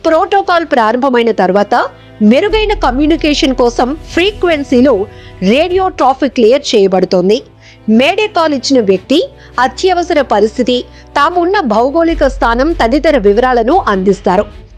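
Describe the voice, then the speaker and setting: High-pitched woman presenting news. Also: very animated, normal speed, darkish tone. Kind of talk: scripted news explainer narration. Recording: music bed behind the voice.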